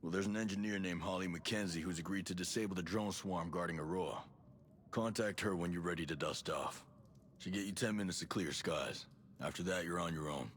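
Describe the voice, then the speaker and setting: An actor playing a gruff, resolute special forces soldier in a video game, blunt and matter-of-fact. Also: male voice, deep voice, fairly quick, a bit bright, a little hiss or hum. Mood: determined. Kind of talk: cinematic game acting.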